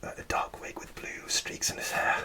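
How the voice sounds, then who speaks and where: A man softly whispering a rambling ASMR story, with many fillers and restarts. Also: normal speed. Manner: soft, relaxed whisper throughout. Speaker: ASMR creator.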